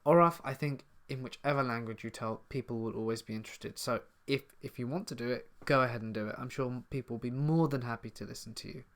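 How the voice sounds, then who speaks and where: A man's voice: mid-range voice, quick pace, hardly any pauses. A man from the south of England with a southern English accent, hosting a live stream Q&A and casually reading out viewer comments before answering them. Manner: casual, chatty, reads comments aloud then answers. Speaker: horror story channel host.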